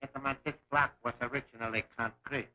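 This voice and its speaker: A man's voice: mid-range voice, steady tone, fast, natural voice. A man playing a cold, commanding enemy scientist in a 1940s film serial, speaking formally and precisely. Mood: grandiose, menacing. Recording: dull lo-fi 1940s serial soundtrack.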